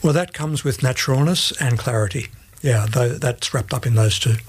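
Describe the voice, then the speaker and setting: A man's voice: mid-range voice, normal speed, husky. A middle-aged man with an Australian accent, explaining his work as a guest in a studio interview. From Sydney, Australia. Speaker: Bible translation advisor, interview guest. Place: radio studio.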